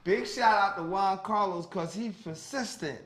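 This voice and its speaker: High-voiced animated male radio host. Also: measured pace, roomy sound. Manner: animated, storytelling with voiced quotes. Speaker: morning show host. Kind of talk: casual studio banter. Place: broadcast studio.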